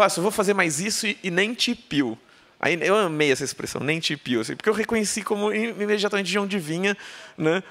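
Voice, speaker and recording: A woman's voice: mid-range voice, a bit bright. A woman author talking on a book fair stage before an audience, playful and digressive, often turning to ask the crowd questions. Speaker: author being interviewed. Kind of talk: live conversation on stage.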